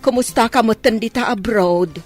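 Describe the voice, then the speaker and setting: A woman playing a grateful, gentle elderly village grandmother in an Ilocano radio drama. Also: fairly high pitch, normal speed, faint music behind, lightly acted. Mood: affectionate, reassuring.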